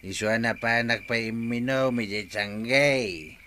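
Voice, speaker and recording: A man's voice: medium pitch, a little slow, pushed and bright, a little hiss or hum. A stubborn old father. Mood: coaxing. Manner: wheedling, stubborn. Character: older father who loves his rice wine. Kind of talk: radio drama acting.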